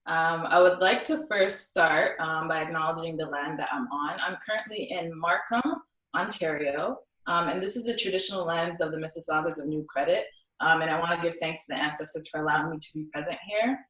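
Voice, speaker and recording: Young woman from South Sudan, low-ish voice, earnest speaker, echoey room, distant mic. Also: a little fast, a bit bright. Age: young adult. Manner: earnest, with frequent ums. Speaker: symposium speaker. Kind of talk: personal talk with recited poem.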